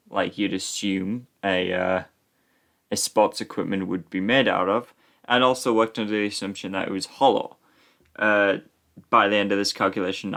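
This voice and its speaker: A man's voice: fairly low pitch, fairly bright tone. A British man talking casually through a film as a commentator, in a conversational style. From Britain.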